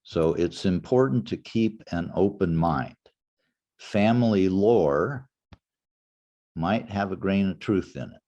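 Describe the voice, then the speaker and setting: An older man teaching a genealogy class in a relaxed, anecdotal way, walking the class through a website step by step. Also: sits low, slow pace, muffled recording. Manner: anecdotal, conversational, walks through website steps. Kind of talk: informal lecture with screen demo.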